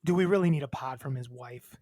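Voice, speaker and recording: A woman co-hosting a podcast, chatting casually with crude, joking banter and a high voice. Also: fast, mellow, no echo. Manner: crude, jokey banter about football. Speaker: podcast co-host. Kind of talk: casual chat.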